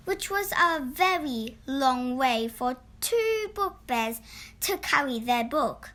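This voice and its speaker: A woman narrating a children's storybook in an expressive, deliberate read-aloud style. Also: sits high. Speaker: storybook reader.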